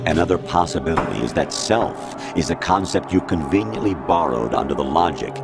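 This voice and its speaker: An actor playing a cold, condescending male machine intelligence in a video game, lecturing in a measured way. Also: deep voice, animated, vocal fry. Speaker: game character. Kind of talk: video game cutscene voice acting. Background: loud dramatic music score.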